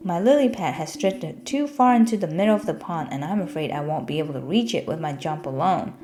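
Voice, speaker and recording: A woman's voice: low-pitched, animated, fairly quick, over music. A woman voicing a timid, inexperienced male frog, nervous and easily awed, in a children's storybook.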